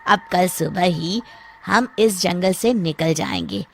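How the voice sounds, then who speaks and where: A woman voicing a calm, self-assured male scientist in an animated Hindi story, measured and reassuring. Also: fairly low pitch. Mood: satisfied. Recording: faint background noise.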